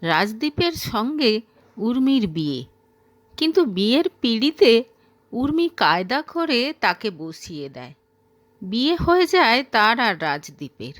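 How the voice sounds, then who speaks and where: A woman narrating a scripted story in an expressive, dramatic storytelling manner. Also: a little high, a little slow, no room sound.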